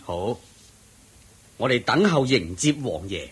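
A man with a Hong Kong Cantonese accent playing a wealthy, pious, courteous householder in a radio drama, in a husky voice. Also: fairly low pitch, very animated, exaggerated voice. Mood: masterful, attentive. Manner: courteous, measured.

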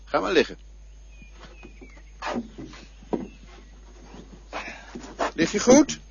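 An eerie guide to death. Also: male voice, high-pitched, huge pitch swings, fairly quick, creaky, background noise, full character voice. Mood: calm, eerie. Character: mysterious male guide to death, calm, knowing. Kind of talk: radio drama acting. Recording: dull lo-fi radio play recording.

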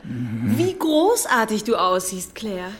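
An actress in a radio play playing an admiring guest at a society party. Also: female voice, medium pitch, huge pitch swings, slow pace. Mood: awed, flattering.

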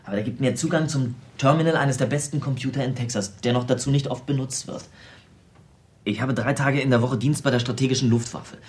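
An actor playing an eccentric, self-absorbed programmer in a radio play, explaining things at length with small hesitations. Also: male voice, medium pitch, fairly even intonation, close to an everyday voice. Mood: casual, content. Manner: explanatory, lecturing, halting in places. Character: eccentric, self-absorbed American computer programmer, brilliant tinkerer. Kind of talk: naturalistic radio drama dialogue.

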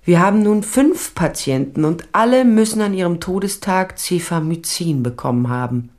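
A woman's voice: lowish voice, expressive, moderate pace, a little mellow, close to an everyday voice. An actress playing a tough, sly private investigator, narrating her case tersely and dryly in a crime radio drama. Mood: teasing, businesslike.

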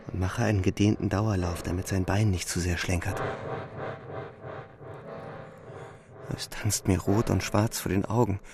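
Give a low-voiced young soldier for a radio play. He is shaken and stubbornly loyal, and his voice has a gravelly creak. Music plays behind him. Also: fairly even intonation, brisk. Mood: strained, desperate. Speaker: actor playing the narrating soldier. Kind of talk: radio drama, first-person narration and dialogue.

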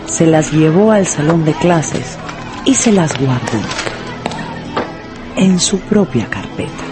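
A woman's voice: low voice, expressive, slow. A woman with a Peruvian accent narrating a scripted radio story in a dramatic style. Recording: music and schoolyard crowd behind.

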